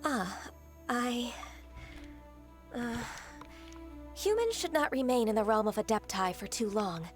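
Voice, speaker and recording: Soft-spoken, formal archer woman, game dialogue, slightly high, music under her. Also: lively intonation, slow. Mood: calm. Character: gentle, reserved half-divine young archer woman. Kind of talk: video game voice acting.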